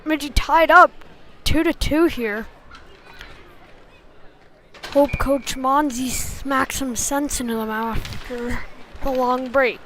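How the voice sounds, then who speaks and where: A woman's voice: high-pitched, fairly even intonation, takes it slowly, long pauses, a bit bright, a little hiss or hum, low-quality recording. A woman giving informal amateur play-by-play of a youth hockey game in a partisan, homespun way. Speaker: play-by-play commentator.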